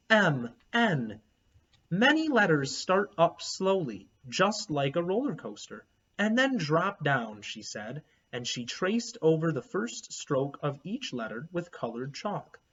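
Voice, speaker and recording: A man narrates a children's storybook with explanatory asides. His voice sits fairly high and swings widely in pitch, with a husky edge. The recording is a bit dull. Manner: animated, explanatory. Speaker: read-aloud narrator, teacher.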